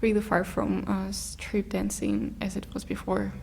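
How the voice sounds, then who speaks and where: A young woman from Russia, a guest in a casual interview, talking haltingly as she searches for words in English. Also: mid-range voice, little pitch movement, vocal fry, darkish tone, a little hiss or hum.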